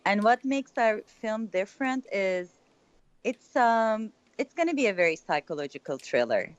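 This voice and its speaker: A woman's voice: medium pitch, big pitch swings, normal speed, no room sound, dull audio. A young woman from Turkey with a Turkish accent, chatting as a filmmaker guest in a casual interview and filling her talk with "like.". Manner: chatty, lots of "like" fillers.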